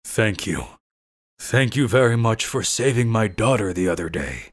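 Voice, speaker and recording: A man's voice: fairly low pitch, lively intonation. Serious, formal middle-aged father played by a man. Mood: grave, grateful. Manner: formal, grateful, measured. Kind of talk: dubbed manga story acting. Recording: music under the voice.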